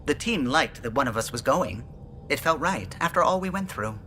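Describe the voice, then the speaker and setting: A man's voice: medium pitch, lively intonation, moderate pace, pushed and bright, a little hiss or hum. An actor playing a male alien starship pilot in a video game, chatty, precise and fond of reminiscing. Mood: nostalgic. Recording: music behind the voice.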